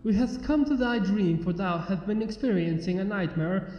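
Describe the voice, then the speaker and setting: A regal alicorn princess, acted out by a man for a fantasy storybook, speaks with stately archaic formality. The voice is high for a man and mellow, muffled by a reverberant recording. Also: normal speed, close to an everyday voice. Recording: muffled, echoey long reverb under music.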